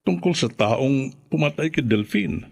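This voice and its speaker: An actor playing an anxious older father-in-law in a radio drama, speaking haltingly and pressing for answers. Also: mid-range voice, lively intonation, creaky. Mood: grave, secretive. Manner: halting, insistent questioning.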